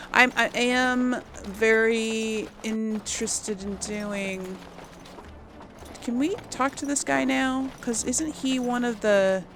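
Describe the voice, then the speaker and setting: A woman's voice: fairly high pitch, slight background noise. A woman hosting a casual game playthrough, thinking aloud in a hesitant, musing way. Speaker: gaming video host.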